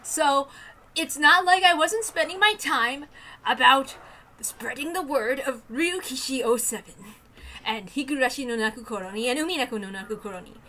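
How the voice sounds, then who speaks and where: Casting: woman with a high, bright voice, excitable and animated, casual read-aloud host over faint music. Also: normal speed. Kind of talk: casual chat and visual novel read-aloud.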